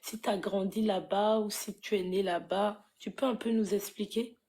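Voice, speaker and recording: A woman's voice: medium pitch, steady tone, normal speed, mellow, a little hiss or hum. A woman host asking questions of a music guest, with a playful, teasing manner. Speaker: interviewer. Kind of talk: interview questions.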